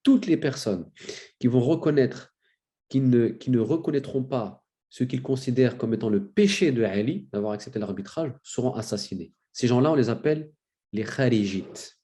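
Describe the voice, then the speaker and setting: A man with a cold giving a spoken lecture, telling a story with many fillers and restarts and rising in pitch for emphasis. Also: expressive, normal speed, warm tone. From France. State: has a cold. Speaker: lecturer on religious history.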